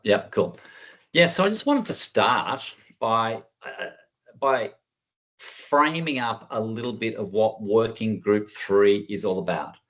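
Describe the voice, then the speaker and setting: A man giving an informal online presentation as a workshop presenter, in a conversational, self-deprecating way. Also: fairly low pitch. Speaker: workshop presenter, working group chair. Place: online video call.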